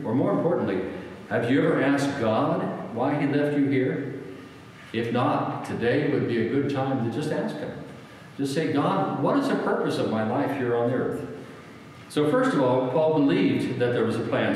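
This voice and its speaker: An older American man preaching a sermon as a pastor, repeating phrases for emphasis with deliberate pauses. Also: mid-range voice, a little mellow, a little hiss or hum. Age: 60s or older. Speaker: guest preacher, pastor and former military chaplain. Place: church sanctuary, at a wooden lectern. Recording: very echoey church hall, long reverb.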